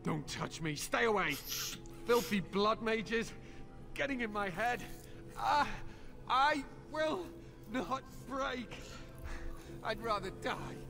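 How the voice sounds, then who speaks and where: An actor playing a tormented, fanatical young templar prisoner in a video game, speaking formally with anguished intensity. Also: male voice, extremely high pitch, lively intonation, slow, pauses often, sharp tone, full character voice. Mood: fearful, defiant. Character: tormented captive templar young man, fanatical and distrustful of mages. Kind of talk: dramatic video game voice acting. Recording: music behind the voice.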